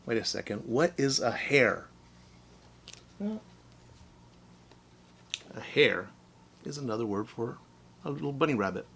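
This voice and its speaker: A man's voice: medium pitch, expressive, a little slow, creaky, pauses often, fairly bright tone, slight background noise. A man reading a children's story as a teacher, expressively, explaining things in simple words.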